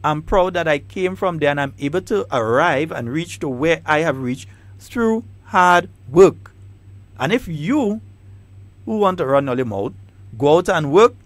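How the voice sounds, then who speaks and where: A man's voice: fairly high pitch, no room sound. A man with a Trinidadian and Grenadian accent, hosting a talk radio show with emphatic, repeated, combative points. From Trinidad and Tobago.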